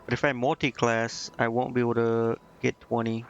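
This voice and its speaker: A man's voice: mid-range voice, flat delivery, normal speed, creaky, muffled recording, a little hiss or hum. A man doing casual video game commentary as a streamer, thinking aloud in a rambling, hesitant way. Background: game music.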